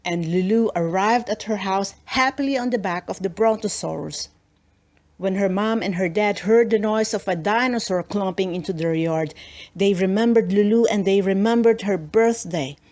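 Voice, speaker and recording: A woman narrating a children's storybook as an expressive read-aloud. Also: mid-range voice, moderate pace.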